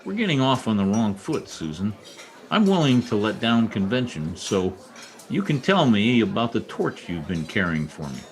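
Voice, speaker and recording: Animated, low-voiced wry reporter played by a man. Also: moderate pace, poor audio. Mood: bantering, indignant. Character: wry, scheming newspaper reporter, grown man, playing smitten suitor. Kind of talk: old-time radio comedy acting.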